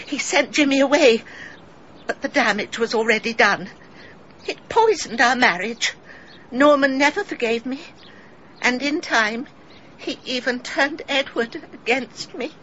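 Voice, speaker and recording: A genteel, middle-aged English woman pouring out a confession with wistful melodrama. Her voice is high and very bright, in theatrical radio-play style. The recording is slightly dull. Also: unhurried, slight background noise. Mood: bitter sorrow. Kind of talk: British radio drama acting.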